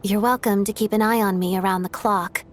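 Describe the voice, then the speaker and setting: A woman voicing a composed young woman under suspicion, speaking calmly with a dry edge. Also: mid-range voice, slight background noise, lightly acted. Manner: calm, measured, dryly wry.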